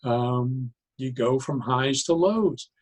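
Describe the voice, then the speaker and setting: A man explaining ideas conversationally as an interview guest, in an animated, halting way, often repeating and interrupting himself. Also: medium pitch, slightly rough. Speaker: podcast guest, psychologist turned joy advocate.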